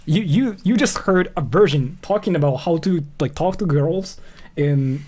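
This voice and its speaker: A man's voice: fairly high pitch, lively intonation, warm tone, a little hiss or hum. A man chatting casually on a livestream as he comments, rambling and often repeating himself. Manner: rambling, repeats phrases, halting. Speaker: live streamer reacting to a video.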